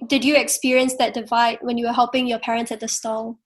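High-voiced woman interviewer. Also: flat delivery, brisk. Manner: friendly, rambling questions with "you know" and "right". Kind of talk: casual interview questions.

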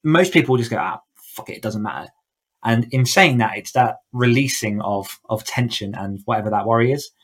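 A man's voice: mid-range voice, moderate pace, fairly bright tone. A man speaking casually as an interview guest, talking in an enthusiastic, effusive way. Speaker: podcast guest, horror author and coach.

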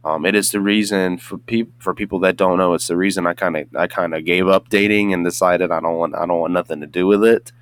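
A young man hosting a casual solo podcast, hoarse and a bit congested from just waking up, rambling with many restarts and fillers. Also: deep voice, fairly even intonation, quick pace, hardly any pauses. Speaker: podcast host.

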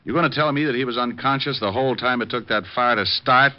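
A man's voice: medium pitch, fast, close to an everyday voice. An actor playing a suave, wisecracking male private detective in a 1940s radio drama, wry and flippant. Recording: dull old radio broadcast.

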